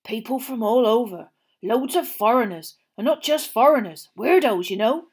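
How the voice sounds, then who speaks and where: A woman voicing a gruff, suspicious man who runs a campsite, blunt and plain-spoken, louder than her narration. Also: fairly high pitch, expressive, unhurried, exaggerated voice.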